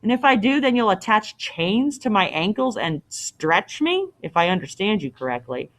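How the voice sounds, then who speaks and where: A woman's voice: mid-range voice, very animated, normal speed, fairly bright tone. Woman voicing a bold, restless young hero. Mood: wary, feigned politeness. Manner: questioning, plucky, impetuous.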